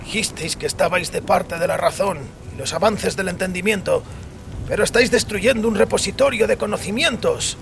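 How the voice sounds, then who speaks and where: A man's voice: high voice, moderate pace, creaky, noisy background, voice pushed up. A man voicing an anguished, defiant priest in dramatized audio fiction, accusing and impassioned in formal phrasing. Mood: anguished disbelief.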